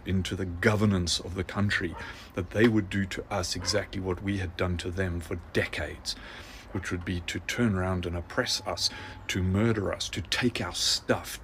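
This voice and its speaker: Deep-voiced man, earnest. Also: a bit bright, a little hiss or hum. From South Africa. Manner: earnest, insistent, building lists. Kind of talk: impassioned monologue.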